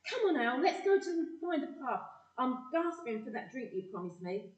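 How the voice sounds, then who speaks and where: A woman's voice: high-pitched, sing-song, normal speed, darkish tone. A woman with a British accent playing a wistful, teasing old sweetheart in an amateur audio play. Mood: excited, friendly. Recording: echoey room, distant muffled mic.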